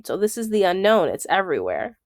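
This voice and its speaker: A woman's voice: fairly low pitch, very animated, a little fast, darkish tone, dry recording, studio quality. A woman reading a children's book aloud, enunciating item by item and adding explanatory asides.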